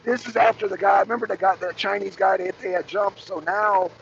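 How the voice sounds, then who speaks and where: A raspy-voiced man hosting a casual rant to his viewers from his truck, blunt and profane as he calls out rivals. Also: high voice, fairly even intonation, fairly quick, highs cut off, a little hiss or hum. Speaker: host. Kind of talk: casual rant to a live audience. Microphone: phone camera.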